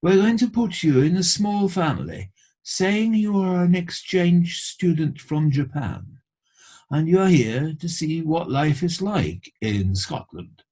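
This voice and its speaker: A man voicing a regal sloth supreme leader in a storybook, with a posh British accent and a stately, deliberate manner. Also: on the high side, very animated, mellow, breathy edge, pitched above the performer's own voice, full character voice. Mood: businesslike.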